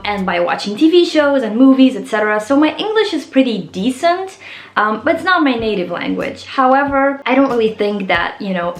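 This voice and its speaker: A woman's voice: fairly high pitch, reverberant. A woman with a Flemish accent and Dutch as her first language, casually talking to viewers about her music work in a chatty, friendly way with frequent fillers. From Belgium (Flanders). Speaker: musician and video creator. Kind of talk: casual behind-the-scenes talk to camera.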